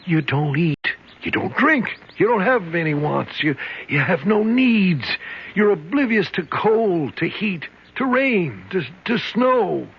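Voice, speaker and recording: A man's voice: high voice, very animated, slow pace, husky, exaggerated voice. An actor playing an older male convict who claims centuries of escapes, earnest, dreamy and rambling. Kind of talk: 1970s radio drama acting. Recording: muffled old radio recording, faint hiss.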